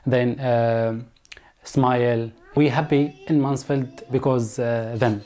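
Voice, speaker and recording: A man's voice: medium pitch, fairly even intonation, takes it slowly. A man with an Arabic accent, speaking hesitant, broken English in an interview. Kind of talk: halting interview answers.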